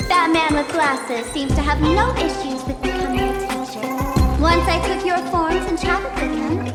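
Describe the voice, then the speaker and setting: An actress playing a smug, mischievous young female trickster villain in a video game, teasing and gloating. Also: high voice. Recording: echoey hall reverb, loud music behind.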